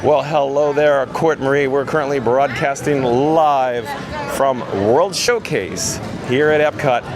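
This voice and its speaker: A man with a hoarse, raspy voice live-streaming from outdoors at a theme park, reading viewer comments aloud in an upbeat, chatty way. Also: medium pitch, a little slow, crowd noise. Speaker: vlogger. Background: music.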